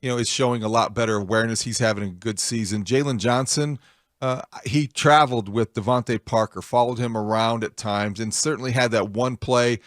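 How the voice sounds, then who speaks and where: A man's voice: medium pitch, steady tone, a little fast, a bit bright, dry recording, clean studio sound. A man hosting a sports radio show, laying out analysis and putting questions to a guest. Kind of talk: interview questioning with game analysis.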